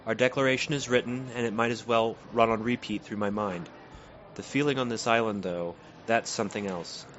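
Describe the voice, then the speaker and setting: An earnest, resolute island leader played by a man, somewhat low in voice. He speaks with determination in audio drama style, over a noisy, slightly dull recording. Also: fairly even intonation, brisk, a bit bright, natural voice.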